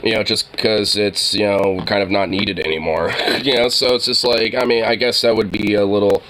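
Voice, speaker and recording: A man's voice: fairly low pitch, steady tone, normal speed, fairly bright tone, low-quality recording. A man co-hosts a casual, profane reaction chat, rambling through his points with constant fillers. A faint hum of background noise sits under his voice. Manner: rambling, full of "you know", swears. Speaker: co-host reacting to a video.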